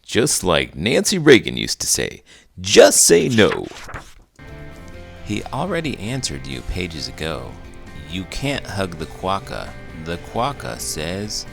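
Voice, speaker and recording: A man puts on a low-pitched cartoon horse with a wildly swooping delivery. He is a cheeky, curious storybook sidekick, theatrical and unhurried as he reads to children. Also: wildly swooping pitch, creaky, a bit bright, soft background music, full character voice. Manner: theatrical, exaggerated. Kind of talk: read-aloud children's storytelling with banter.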